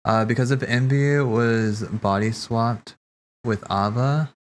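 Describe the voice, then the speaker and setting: A man streaming casual live commentary, thinking aloud in a halting way and repeating phrases as he reasons. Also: medium pitch, fairly even intonation. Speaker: streamer hosting a cutscene watch party. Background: game music behind the voice.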